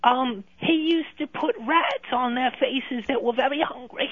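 A dim little boy, as a performer puts him on, high and slow and simple-minded. He comes over a thin, tinny phone line. The sound is dry and close. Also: dry recording. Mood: naive.

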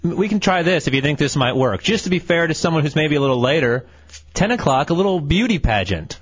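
A man's voice: on the high side, animated, fast talker, slightly rough, no room sound, highs cut off. A man running the board on a radio show, chiming in defensively during casual banter. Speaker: board operator.

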